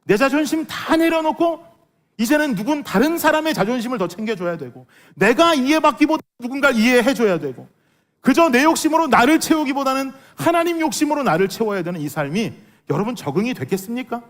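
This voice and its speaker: A middle-aged man with a hoarse, worn voice, preaching an earnest, emphatic sermon as a pastor and putting rhetorical questions to his congregation. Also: extremely high pitch, big pitch swings, fairly quick. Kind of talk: Sunday sermon with personal testimony.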